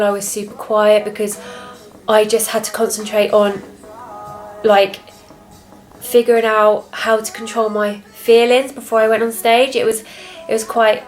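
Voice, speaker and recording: A young woman with a British accent and a soft, quiet voice, chatting candidly and a little reservedly as a fitness vlogger. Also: medium pitch. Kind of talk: casual confessional chat to camera.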